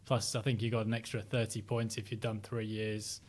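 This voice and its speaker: A middle-aged man from Sheffield with a softened northern English accent, talking as an interview guest, reflective and full of ums and restarts. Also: fairly low pitch, flat delivery, fairly quick. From Sheffield, South Yorkshire, England. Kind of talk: casual interview chat.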